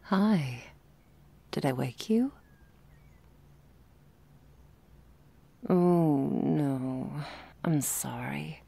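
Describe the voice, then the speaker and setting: A woman playing an affectionate, wry girlfriend in an intimate ASMR roleplay, talking softly and teasingly to her sleepy partner. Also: deep voice, gravelly, slightly rough, heavily acted. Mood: sleepy, tender. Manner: soft, teasing, drifting between whispers and playful chatter.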